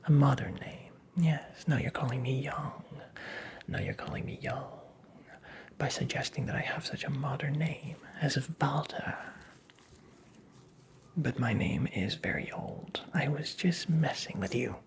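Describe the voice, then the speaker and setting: A performer playing a creepy, teasing, very old male magical imp in a whispered ASMR roleplay, with breathy, creaky, theatrical delivery. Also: medium pitch, close to an everyday voice. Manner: slow, theatrical, taunting, toying with the listener.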